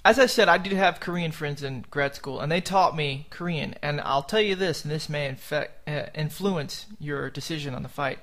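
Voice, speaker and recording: Male podcast co-host, high voice, playful and teasing, casual. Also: moderate pace, few pauses, fairly bright tone. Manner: joking, teasing, laughs at own jokes. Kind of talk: casual sports chat.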